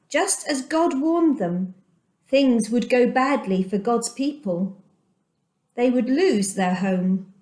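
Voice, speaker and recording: Slow, expressive woman reading a children's story. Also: a little high. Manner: deliberate, dramatic emphasis with repeated pauses. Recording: echoey room.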